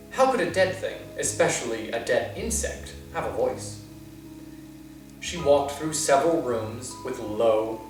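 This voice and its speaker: A man reading a dark fantasy chapter aloud for storytime, slow and measured. He sounds far from the mic in a very echoey room, with instrumental music playing clearly underneath. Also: mid-range voice. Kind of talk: storytime read-aloud narration. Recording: roomy, distant, with music. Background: soft instrumental music.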